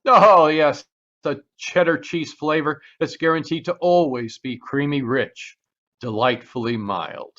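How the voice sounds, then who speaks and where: A man's voice: on the high side, unhurried, fairly bright tone. A man reading a vintage-style radio commercial as an announcer, with friendly salesman patter, on muffled call audio. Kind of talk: 1940s radio advertisement read. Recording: dull lo-fi video call audio.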